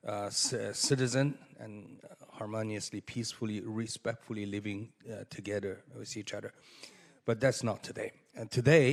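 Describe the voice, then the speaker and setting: A man from China giving halting remarks as a conference panelist, with many fillers and rising emphasis. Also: on the low side. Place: conference hall. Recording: long hall reverb.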